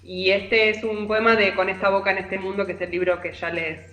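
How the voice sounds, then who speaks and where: A woman's voice: medium pitch, little pitch movement, brisk, fairly bright tone. A woman with a Rioplatense Argentine accent, talking conversationally as a literary columnist on a radio show. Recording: roomy echo, music underneath.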